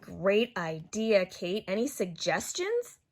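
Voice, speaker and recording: A woman's voice: mid-range voice, very animated, slow, darkish tone, exaggerated voice. A woman voicing an upbeat, reassuring grandmother from a children's storybook, with an encouraging, cheerful manner. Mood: curious.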